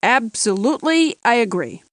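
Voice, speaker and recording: Woman as a brisk cartoon judge, highish voice. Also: expressive, dry recording, studio quality, natural voice. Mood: weary patience. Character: brisk, wry, weary female cartoon judge.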